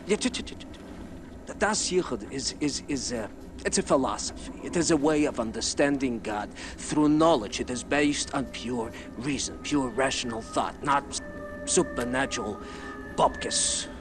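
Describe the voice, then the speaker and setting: Male actor as elderly mystic inventor, earnest and stammering, game cutscene over clear music. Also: medium pitch, lively intonation, normal speed, close to an everyday voice. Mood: earnest, explaining. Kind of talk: video game cutscene acting. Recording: music behind.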